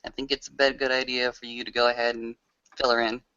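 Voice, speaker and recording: Bright, clear-voiced man roleplaying. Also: medium pitch, little pitch movement, fast talker, muffled recording. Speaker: game master and roleplayer. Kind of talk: improvised tabletop roleplay, narrating and speaking in character.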